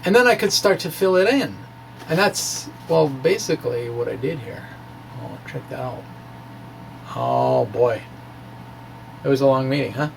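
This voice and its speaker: A man giving an informal, self-deprecating technical ramble in a hesitant way, with animated asides. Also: medium pitch, measured pace, creaky, pauses often, a little hiss or hum, poor audio. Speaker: physics blogger presenting his own work. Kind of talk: informal technical ramble, reading of own blog.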